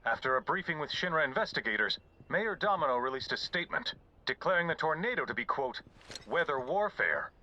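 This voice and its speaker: A grave, official-sounding male newscaster in a video game. His delivery is piercingly bright and animated, stressing each point of the bulletin, with faint noise behind him. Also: on the high side, a little fast, muffled recording, heavily acted. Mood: grave, urgent. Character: urgent male news announcer reporting a crisis. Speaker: news announcer. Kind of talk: scripted news bulletin.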